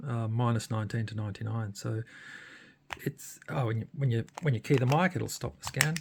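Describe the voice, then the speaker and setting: A man giving a casual hands-on demonstration as a radio hobbyist, often hesitating and pausing mid-sentence in a creaky voice. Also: medium pitch, fairly even intonation, a little hiss or hum.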